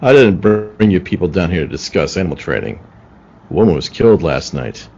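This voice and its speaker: A man's voice: deep voice, lively intonation, a little fast, a little mellow, lo-fi sound, slight background noise. A man playing a businesslike police detective in a radio play, matter-of-fact and firm. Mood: stern, restrained. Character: level-headed, businesslike male police detective. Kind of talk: old-time radio play acting.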